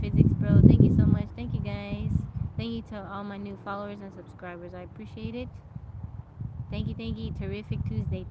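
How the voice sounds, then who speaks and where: A woman's voice: medium pitch, dull audio, heavy background noise. A woman live streaming outdoors on a farm from her phone, chatting casually and telling a story with lots of laughs. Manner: animated storyteller, laughs often, thanks viewers by name. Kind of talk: casual livestream storytelling and chat.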